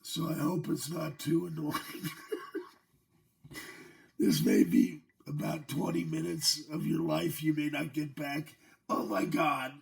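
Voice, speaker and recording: A middle-aged man with a hoarse, gravelly voice from an illness, hosting a casual, joking demo of old computer software. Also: on the high side. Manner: joking, chuckling between remarks. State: hoarse, losing his voice from a virus. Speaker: channel host.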